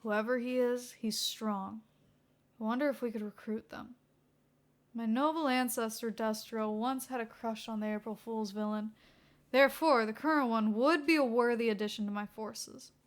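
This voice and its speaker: A woman's voice: fairly high pitch, normal speed, lightly acted. A woman playing a grandiose, scheming villain boss. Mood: calculating. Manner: formal, grandiose. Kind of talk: acted fan fiction dialogue.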